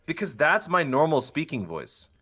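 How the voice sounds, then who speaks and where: A man's voice: a little high, sing-song, normal speed, bright, forceful tone, breathy edge. A young man, a voice actor guest on a podcast, chatting casually in a playful, self-deprecating way.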